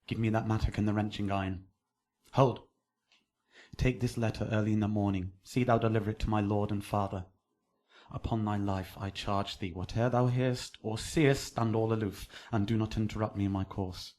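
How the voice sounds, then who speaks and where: Man as deep-voiced, desperate young lover. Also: fairly even intonation, normal speed, a little mellow, natural voice. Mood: resolute. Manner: intense, commanding declamation. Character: passionate, desperate young nobleman in love. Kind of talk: Shakespearean radio drama acting.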